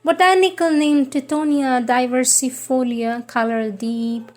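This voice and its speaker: A woman reading a devotional script in a measured, solemn manner. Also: high voice, steady tone. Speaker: reader.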